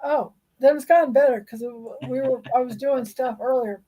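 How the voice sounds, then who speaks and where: High-pitched woman, kinda hoarse, rambles about releasing energies. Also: normal speed, warm tone, low-quality recording. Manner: rambling, soothing explanations. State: slightly hoarse. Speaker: podcast co-host and energy healer. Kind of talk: casual spiritual chat.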